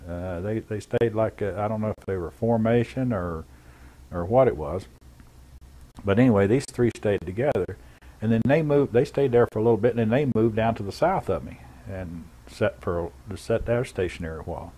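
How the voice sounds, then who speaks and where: A man with a low-pitched voice gives a first-person account from memory. He speaks in a plain, matter-of-fact manner, feeling his way through the details haltingly. It sounds like an unscripted witness interview. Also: warm tone, low-quality recording. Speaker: former air traffic controller giving an eyewitness account.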